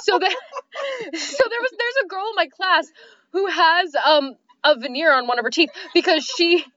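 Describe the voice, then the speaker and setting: A young American woman co-hosting a podcast in a playful, animated, casual comedic chat. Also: very high voice, unhurried, no room sound. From Michigan, United States. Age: young adult. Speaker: podcast co-host. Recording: muffled lo-fi recording.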